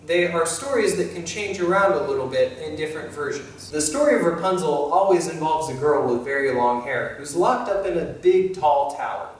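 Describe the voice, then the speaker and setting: A man's voice: on the high side, moderate pace, few pauses, a little hiss or hum, close to an everyday voice. A man playing an easygoing young fairytale thief, telling stories to children in a friendly way. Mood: friendly, explaining. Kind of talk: scripted children's educational presentation in character. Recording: very echoey room, distant mic, faint music.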